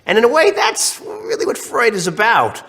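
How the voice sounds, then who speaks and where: A man's voice: extremely high pitch, moderate pace, creaky. A man lecturing online, slightly congested from a cold, with many restarts and fillers. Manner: animated, acts out questions in other voices.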